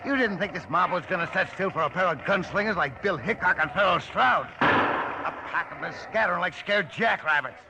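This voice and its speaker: Tough cowboy crook, contemptuous slangy talk, old radio drama, pretty noisy. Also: male voice, sits high, lively intonation, normal speed. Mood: scornful. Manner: scornful western slang. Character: scornful, tough male outlaw henchman of the Old West. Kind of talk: 1950s western radio acting. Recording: noisy vintage radio recording.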